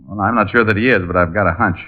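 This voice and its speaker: Casting: male actor as wisecracking gumshoe for radio drama, lowish and lively, dry sarcasm, dull old recording. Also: rapid-fire, lightly acted. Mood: businesslike. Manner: wry, sarcastic, breezy. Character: wisecracking, cool male private detective. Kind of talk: old-time radio detective acting.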